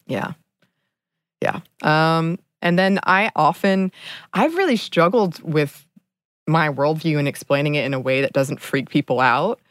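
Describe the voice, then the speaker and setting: A woman's voice: low-pitched, unhurried, dry recording, clean studio sound. A young woman co-hosting a book podcast, talking earnestly in a casual chat and laughing often between thoughts. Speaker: podcast co-host.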